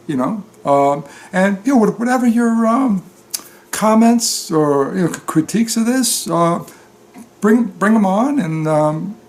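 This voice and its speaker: A man talking casually and off the cuff as a story reader, in a halting, hesitant manner. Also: high voice. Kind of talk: narrated book reading, then casual unscripted talk.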